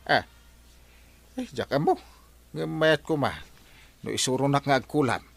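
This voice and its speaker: An actor playing an excitable middle-aged village captain in a radio comedy, swinging between yelps and mutters. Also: male voice, medium pitch, unhurried, creaky. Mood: scheming. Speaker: barangay captain. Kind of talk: radio comedy drama acting.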